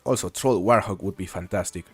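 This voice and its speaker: Casual male streamer, lowish voice, easygoing talk to chat, dry mic. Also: brisk, slightly rough, no echo, faint music behind. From Mexico. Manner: relaxed, chatty, answers viewers while playing. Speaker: game streamer. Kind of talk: casual live-stream commentary, reading chat.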